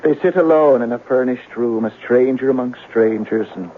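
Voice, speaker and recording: An actor playing a wry, melancholy police sergeant in an old-time radio drama. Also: male voice, mid-range voice, normal speed, slight background noise, natural voice. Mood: wistful, philosophical. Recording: muffled narrowband old radio broadcast.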